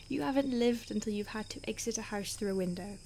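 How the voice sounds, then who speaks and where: A woman playing an ancient, secretive vampire in a whispered roleplay ASMR, hushed and halting with deliberate pauses. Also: medium pitch, close to an everyday voice. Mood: urgent, secretive. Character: ancient female vampire, secretive, urgent, weary, protective. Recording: faint hiss, outdoor night insects.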